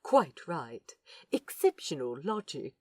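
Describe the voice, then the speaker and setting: Woman voicing a polite, nervous man, slightly husky. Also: low-pitched, sing-song, slow, mellow, no echo, clean studio sound, full character voice. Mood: amused. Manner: polite, slightly formal wording. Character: nervous, eager, polite male aquarium docent.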